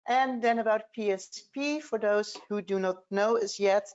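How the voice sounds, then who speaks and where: A Dutch-accented woman of middle pitch presents a business webinar. She speaks in a measured way with frequent "um"s. The audio is dull and lo-fi, like a computer call. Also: a little mellow, smooth. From Netherlands. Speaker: tax partner hosting a webinar.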